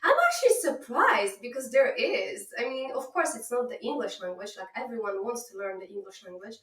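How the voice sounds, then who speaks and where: A woman's voice: a little high, animated, fairly quick, a bit bright, echoey room, recorded from a distance. A woman in her thirties from Poland with a Polish accent, chatting enthusiastically as an interview guest. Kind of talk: casual interview chat.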